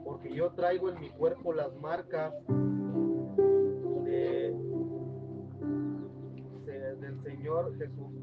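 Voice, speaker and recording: A man in the congregation reading a Bible verse aloud, halting now and then. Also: on the high side, big pitch swings. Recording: dull and distant with loud music.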